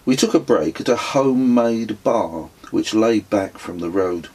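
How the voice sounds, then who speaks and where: Husky, slow-paced man reading his memoir. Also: lowish voice, animated, a little mellow, poor audio. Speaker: author reading own memoir. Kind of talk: audiobook narration of a reflective travel memoir.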